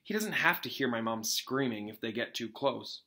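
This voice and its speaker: A man's voice: mid-range voice, expressive, moderate pace, pushed and bright. A man reading a storybook aloud as a troubled, brooding schoolboy wizard, defensive and impassioned. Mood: bitter brooding.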